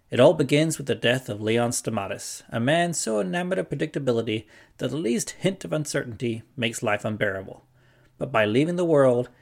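A man hosting a podcast, reading a scripted introduction. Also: medium pitch. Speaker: podcast host introducing another show.

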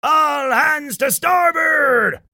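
A man voicing a blustering old pirate captain in a story, shouting orders in piratical phrasing. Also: extremely high pitch, very slow, creaky, no room sound, studio quality, voice pushed up, heavily acted. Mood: urgent, commanding. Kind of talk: acted children's storybook character.

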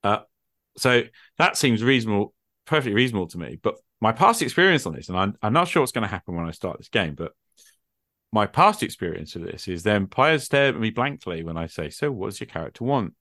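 A man's voice: lowish voice, very animated, a little fast, bright, forceful tone, no echo, studio quality. A man chatting casually as a gaming podcast host, thinking aloud with plenty of "like" and "you know". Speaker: podcast host and game master. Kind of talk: casual conversation about tabletop gaming.

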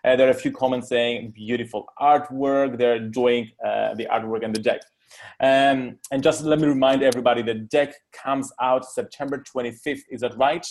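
A man's voice: medium pitch, fairly even intonation, moderate pace, a little mellow. A man hosting an interview, asking questions and chatting in an informal, conversational style. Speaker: interviewer, host of live Q&A. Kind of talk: casual interview chat.

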